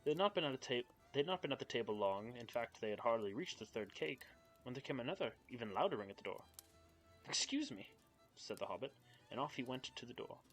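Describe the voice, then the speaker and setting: A man's voice: medium pitch, animated, fast talker, fairly bright tone, faint music behind, poor audio. A young man on a live stream, reading a book aloud and chatting with viewers in a nervous, giggly way. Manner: giggly, nervous, chats with viewers between passages. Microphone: home streaming mic.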